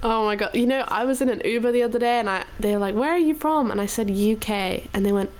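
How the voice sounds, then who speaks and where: Giggly woman, chatty and bright. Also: fairly high pitch. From Wales. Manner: giggly, chatty, quick to laugh at herself. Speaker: guest musician. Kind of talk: casual chat. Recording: remote live stream.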